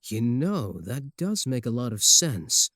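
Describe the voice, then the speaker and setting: A man's voice: medium pitch, big pitch swings, slow pace, pushed and bright, no room sound, exaggerated voice. A man playing a cocky, obsessive, easily offended werewolf in love, boasting earnestly and throwing dry sarcastic jabs in a comedic ASMR roleplay. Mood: dry bickering.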